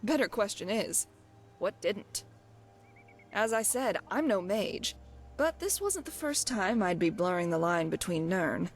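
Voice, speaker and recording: A woman's voice: mid-range voice, lively intonation, a little slow, soft background music. A woman playing a glib, evasive thief companion in a fantasy video game, talking in a wry, coy way. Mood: wry storytelling. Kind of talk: scripted video game dialogue.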